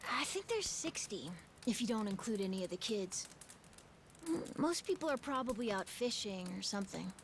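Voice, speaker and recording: A woman's voice: mid-range voice, sing-song, normal speed, a little hiss or hum. A woman voicing a plucky, curious island child in a video game, offhand and earnest with a youthful, casual delivery. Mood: casual, friendly. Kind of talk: video game cutscene acting. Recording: music clearly behind the dialogue.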